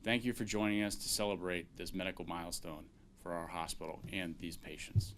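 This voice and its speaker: A man speaking as a transplant doctor at a press conference, measured and peppered with filler sounds. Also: sits low, little pitch movement, fairly bright tone, slight background noise.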